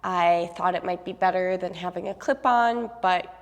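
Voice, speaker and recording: A young woman guiding a yoga class at an even, unhurried pace, her cues calm and steady. She sounds a bit sniffly from a cold and speaks into a clip-on mic. A long reverb hangs on her voice, as in a large room. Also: lowish voice. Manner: calm, steady cueing with soft fillers. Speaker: yoga instructor.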